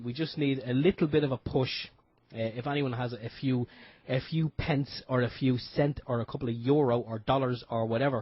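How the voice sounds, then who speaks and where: Man, husky voice, relaxed radio announcements, muffled lo-fi audio. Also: medium pitch, dry recording. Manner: relaxed, jokey, repeats himself. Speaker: radio show co-host. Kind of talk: casual radio chat and announcements. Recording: dull lo-fi internet radio audio.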